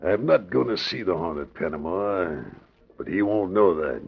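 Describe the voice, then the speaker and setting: A suspicious, ruthless gangland boss in a 1940s radio drama. His voice is very low and gravelly, his slangy delivery menacing. The old recording sounds muffled. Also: lively intonation, normal speed, heavily acted. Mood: suspicious menace. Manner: menacing, slangy tough talk.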